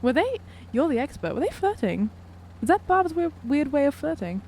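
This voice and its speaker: Animated, high-voiced woman bantering at a game. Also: moderate pace, a little hiss or hum. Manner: animated, giggly, jokey. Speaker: tabletop game player on a podcast. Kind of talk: casual improvised roleplay banter.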